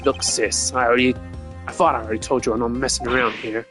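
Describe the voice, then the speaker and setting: A man's voice: mid-range voice, flat delivery, quick pace, over music, lightly acted. A man voicing a brash, informal, confident detective in a video game, taunting his rivals. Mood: cocky, determined.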